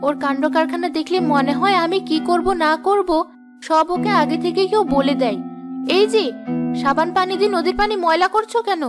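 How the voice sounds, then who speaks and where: A woman's voice: extremely high pitch, brisk, over loud music. A woman playing a vain, quarrelsome young village wife in a cartoon, with a haughty, complaining manner. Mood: haughty, scornful. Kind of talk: cartoon voice acting.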